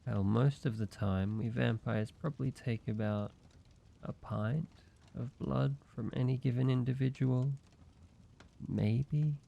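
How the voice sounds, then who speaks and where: A man playing a lonely, courteous, softly wry vampire in a cozy audio roleplay, speaking in a measured, intimate way with pauses. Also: lowish voice, vocal fry, dull audio, exaggerated voice. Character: lonely, gentle, teasing male vampire, centuries-weary and courteous. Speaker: amateur voice actor. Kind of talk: cozy scripted audio roleplay, addressed to one listener.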